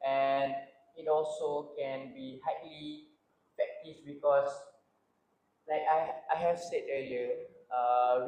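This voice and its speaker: A young man with a Malaysian English accent speaks as a panelist in a student panel discussion, halting and pausing to find his words. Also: on the high side, mellow, slight background noise. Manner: hesitant, halting, many filler sounds. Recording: roomy echo.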